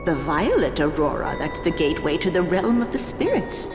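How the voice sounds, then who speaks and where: Dramatic, swooping mystic. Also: female voice, low-pitched, big pitch swings, normal speed. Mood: solemn. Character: mystical, solemn woman sensing spirits. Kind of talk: video game cutscene voice acting. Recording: echoey, music behind.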